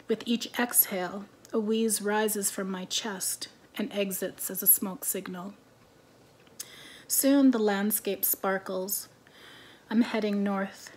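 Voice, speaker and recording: A woman in her forties, whose first language is French, introduces and reads her own lyric essays in a calm, measured voice from her living room, her phrase ends trailing off quietly. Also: medium pitch. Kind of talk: reading lyric essays aloud. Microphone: laptop camera, webcam framing.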